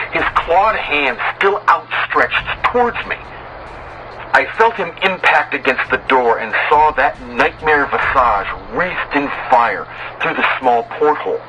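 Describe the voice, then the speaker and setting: A man tells a horror story as a despairing, doomed sailor. His delivery is very slow and grave, with deliberate dramatic pauses. A clear bed of ambient noise sits behind the voice. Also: medium pitch. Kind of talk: dramatic horror narration, acted in first person.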